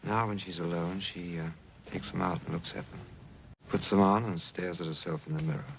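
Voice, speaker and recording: Grave husband in a 50s movie, low creaky voice, confiding, muffled old soundtrack. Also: male voice, steady tone, a little fast. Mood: grave, troubled. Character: grave, troubled husband confiding family secrets. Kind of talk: 1950s film drama acting. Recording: muffled old film soundtrack, faint noise.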